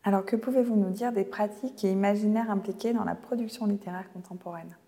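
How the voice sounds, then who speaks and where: A woman interviewer asking formal questions in a measured, composed way. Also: mid-range voice, steady tone, dark tone, long reverb tail.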